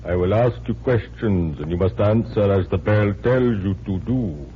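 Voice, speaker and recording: An actor playing a sinister, domineering phony mystic who commands in deliberate, incantatory 1940s radio style. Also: male voice, on the low side, close to an everyday voice. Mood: sinister, controlled. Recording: dull, noisy 1940s radio recording.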